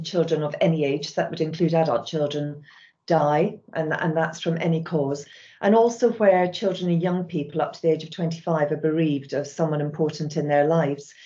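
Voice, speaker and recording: A middle-aged woman with a Scottish accent, answering interview questions in a measured, reflective way as a charity's chief executive. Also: low-pitched, fairly even intonation, warm tone, muffled recording. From Scotland. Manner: measured, reflective, with frequent restarts.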